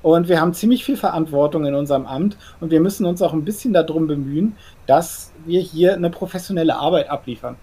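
A man's voice: a little high, quick pace, hardly any pauses, dark tone, slightly rough. A man playing a nervous, perfectionist vampire in a role-play audio drama, stammering and repeating words in a formal, earnest way. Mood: tense, earnest.

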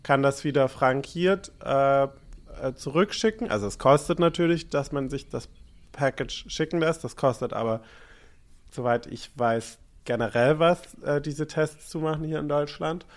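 A man's voice: a little high, normal speed. A young man co-hosting a casual podcast, talking in a rambling way with many fillers and restarts. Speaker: podcast co-host. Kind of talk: casual chat.

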